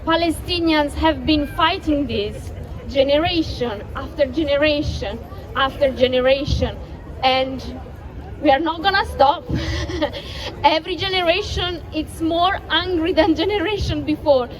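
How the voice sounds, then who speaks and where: High-voiced woman rousing an outdoor crowd. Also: a little slow, rough recording. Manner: impassioned, rousing, repeats phrases. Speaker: rally speaker. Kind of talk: protest rally speech. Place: outdoor city square rally. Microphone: handheld mic through PA. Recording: outdoor PA with crowd and street noise.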